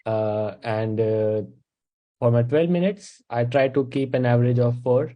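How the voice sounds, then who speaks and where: A man's voice: mid-range voice, measured pace, highs cut off. A young man from India with an Indian English accent, a stand-up comedian explaining his craft in a casual interview, step by step with many fillers. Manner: explains in steady steps, with frequent uh fillers.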